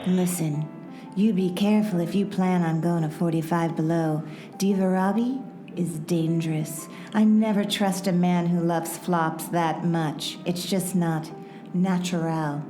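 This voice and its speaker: A woman's voice: lowish voice, fairly even intonation, unhurried, natural voice. A woman playing a world-weary, curt and sassy server who sells information in a noir radio play. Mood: knowing, wary. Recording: roomy echo, faint music bed.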